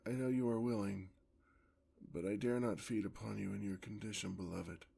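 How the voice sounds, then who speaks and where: A man playing a courtly, hungry vampire lover in an ASMR fantasy roleplay, with formal, theatrical speech and a gravelly voice. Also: on the low side, fairly even intonation, warm tone, exaggerated voice. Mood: remorseful, weary. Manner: theatrical, formal, drawn-out endearments. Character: courtly, hungry male vampire, tender yet predatory lover.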